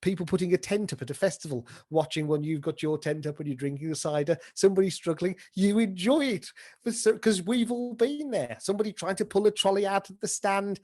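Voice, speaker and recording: A man's voice: high-pitched, a little fast, darkish tone. A British man speaking casually as an interviewed theatre performer and director, enthusiastic and full of illustrative examples. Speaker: pantomime dame and director being interviewed. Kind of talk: casual interview chat about stage craft.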